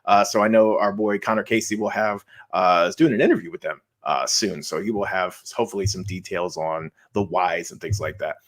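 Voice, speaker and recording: A man's voice: deep voice, steady tone, fairly quick, hardly any pauses. A man co-hosting a podcast, chatting casually like an enthusiastic fan, with emphatic delivery. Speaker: podcast co-host.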